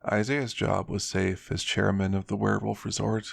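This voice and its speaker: Low, gravelly man reading a story. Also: little pitch movement, normal speed, no echo. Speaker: narrator of an ASMR roleplay story. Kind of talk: scripted ASMR story narration.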